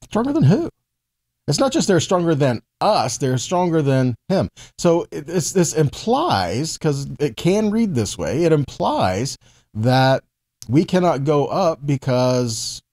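A man's voice: medium pitch, big pitch swings, slow pace, darkish tone. A man in his forties giving a conversational, emphatic Bible teaching, reading verses and posing rhetorical questions. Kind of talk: teaching sermon to camera with scripture readings.

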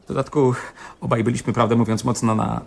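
A man's voice: medium pitch, steady tone, fast talker, creaky, a little mellow. A man acting in a radio play as a meek, flustered eyewitness, hesitant, stammering and deferential. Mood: confiding.

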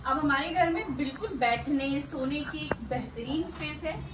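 A woman in her thirties calmly explaining her home during a casual house tour indoors. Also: high-pitched, normal speed, recorded from a distance, background noise. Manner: calm, explanatory, mixes Hindi and English. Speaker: co-host, sister-in-law. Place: apartment rooms indoors. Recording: echoey room on phone mic.

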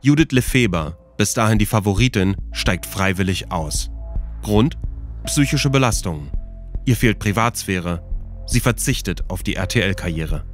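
Low-voiced man narrating over music. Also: animated, a little slow. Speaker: podcast narrator. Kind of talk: scripted documentary narration.

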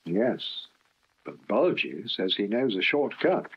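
Man voicing an anxious, animated steam engine. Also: slow pace, breathy edge, heavily acted. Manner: shrill, worried. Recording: dull lo-fi archive recording.

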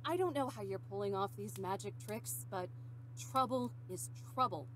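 A woman's voice: medium pitch, normal speed, noisy background, close to an everyday voice. A woman voicing a skeptical, no-nonsense young park ranger in a visual novel game, blunt and exasperated. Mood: firm, annoyed.

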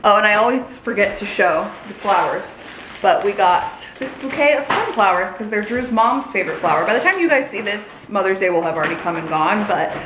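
A woman's voice: mid-range voice, expressive, brisk. An American woman in her thirties talking casually and chattily to camera, rambling along in girly slang as a lifestyle vlogger. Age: 30s. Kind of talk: casual chatty grocery haul vlog. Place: home kitchen, indoors. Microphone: camera mic a few feet away. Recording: echoey kitchen, clear background noise.

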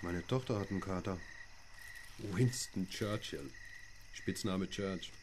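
A man's voice: low-pitched, slow pace, background noise. An actor playing an easygoing doctor and father in a radio play, casual and wry. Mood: wry, relaxed. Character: easygoing adult male doctor and family father. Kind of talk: German radio play acting.

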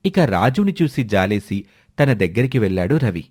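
A man's voice: fairly low pitch, sing-song, moderate pace, darkish tone, breathy edge. A man narrating a scripted moral story for children as a storyteller.

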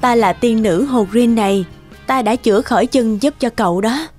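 A woman's voice: fairly high pitch, normal speed, warm tone, faint music behind, close to an everyday voice. Woman playing a kindly lake fairy. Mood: calm, benevolent. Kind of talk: cartoon fairy tale acting.

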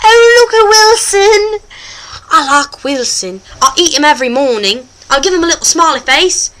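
A woman playing a boastful, goofy British male show host with an exaggerated British accent, presenting a comic vlog in a hammy, excitable, rambling way. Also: high-pitched, moderate pace. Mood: excitable, silly.

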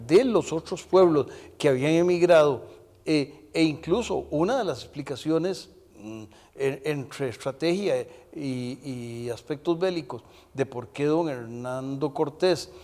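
Halting, slow-spoken older man in reverberant room. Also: a little high, a little mellow. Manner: halting, deliberate delivery with long hesitations. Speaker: interview show host. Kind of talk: televised conversational interview. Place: brick-walled indoor room, television set. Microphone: lapel mic.

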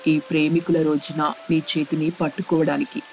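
A man voicing a devoted, agreeable young boyfriend in an earnest, measured way for a cartoon story. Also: on the high side, flat delivery, vocal fry, a little hiss or hum. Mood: affectionate.

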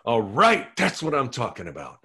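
Man playing a dorky, animated lovelorn radio host. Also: medium pitch, moderate pace, gravelly, slight background noise, exaggerated voice. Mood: eager, hopeful. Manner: eager, pleading. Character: dorky, eager, hopelessly romantic 45-year-old male radio host. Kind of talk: comedy script table read, playing a radio host.

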